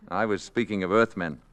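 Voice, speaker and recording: An actor playing a calm, courteous alien posing as a human, measured and gently formal, in old-time radio drama style. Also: male voice, low-pitched, smooth. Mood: earnest, thoughtful. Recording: vintage radio drama recording.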